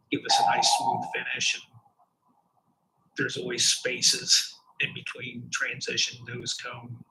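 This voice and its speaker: A man reviewing a student design and giving technical advice in a relaxed, conversational way. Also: mid-range voice, background noise. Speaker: design reviewer asking questions. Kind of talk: technical feedback.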